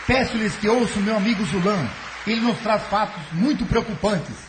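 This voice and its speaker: A man's voice: high voice, moderate pace, noisy background, close to an everyday voice. A man playing a dignified, earnest delegate making a formal, solemn speech to an alien council in an audio drama. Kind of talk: spiritist audio drama acting.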